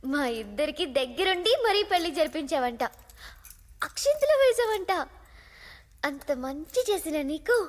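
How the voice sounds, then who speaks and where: A woman's voice: extremely high pitch, in a large hall. A woman playing a sweetly dramatic, cheeky young captive with a theatrical, playfully scolding delivery in a film. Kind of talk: Telugu film acting.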